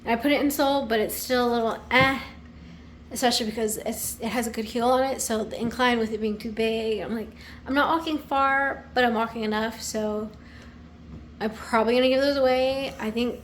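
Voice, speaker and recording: Young woman, slightly raspy, higher voice, rambling vlog chat, whispered asides, at home. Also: steady tone, brisk, slight background noise. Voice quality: a little raspy. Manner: rambling, thinks aloud with many fillers and whispered asides. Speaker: vlogger. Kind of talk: casual chat to camera.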